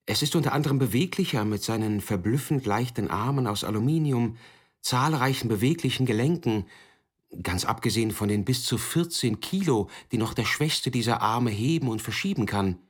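A man giving a scripted literary narration in a measured, formal way, with a husky voice. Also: mid-range voice, lively intonation. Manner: measured, formal second-person storytelling. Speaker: author narrator.

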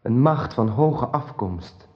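An actor in a radio drama playing an anguished, devout knight burdened by guilt, speaking in an earnest, fervent and formal way. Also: male voice, mid-range voice, lively intonation, slow pace, lo-fi sound. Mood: ashamed.

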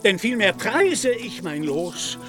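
Grandiose knight-errant, high-pitched male voice. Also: very animated, slow pace, over music, full character voice. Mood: lofty, solemn. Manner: grandiose, solemn, declamatory. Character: Don Quijote, pompous deluded knight-errant, lofty and devoted. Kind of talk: German radio play acting.

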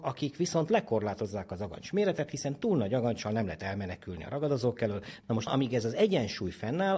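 A man with a husky voice, explaining as an expert guest in a didactic way, posing questions and answering them. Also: medium pitch, a little mellow. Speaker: scientist, ethologist guest. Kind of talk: explanatory interview talk.

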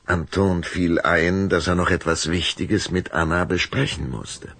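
A man's voice: sits low, fairly even intonation, measured pace, a bit bright, a little hiss or hum. A man narrating a children's vampire audio drama as a dramatic, suspenseful storyteller. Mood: calm, storytelling.

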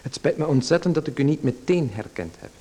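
An actor playing a nervous, overly polite playwright in a radio play, apologetic and stammering. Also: male voice, fairly high pitch, animated, fairly quick, dark tone.

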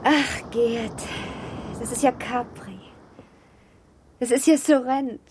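An actress playing a wistful, restless young baron's wife in a literary radio play, with refined, expressive diction and a husky voice. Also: female voice, fairly high pitch, slow, background noise. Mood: delighted.

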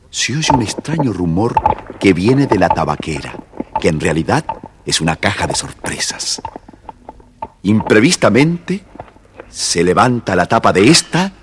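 A man's voice: lowish voice, noisy background. A man narrating a scripted children's story as storyteller.

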